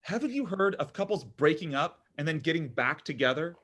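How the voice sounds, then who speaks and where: A man's voice: on the high side, sing-song, a little fast, fairly bright tone. A man acting a needy, self-dramatizing man in his thirties at a comedy table read, exasperated and argumentative. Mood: sulky. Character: needy, whiny, self-dramatizing thirty-four-year-old son.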